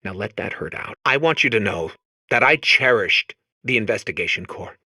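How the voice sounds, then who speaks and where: Mourning robot: overwrought, needy consoler with bright, forceful, animated delivery, comic game style. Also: male voice, fairly low pitch, moderate pace, gravelly, breathy edge, no room sound, full character voice. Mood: solemn. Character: melodramatic grieving male robot, solemn and needy. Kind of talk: comic video game character acting.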